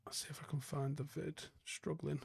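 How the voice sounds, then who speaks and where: A man with a softened Manchester, northern English accent, chatting casually and bluntly as a livestream host answering viewer comments, with plenty of swearing. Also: fairly high pitch, level tone, moderate pace, creaky, slightly rough, dry recording.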